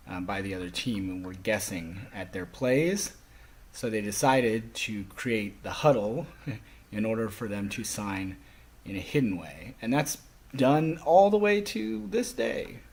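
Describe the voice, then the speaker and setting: A man speaking a lecture to a class, explaining things in halting, phrase-by-phrase delivery. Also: medium pitch, slightly rough.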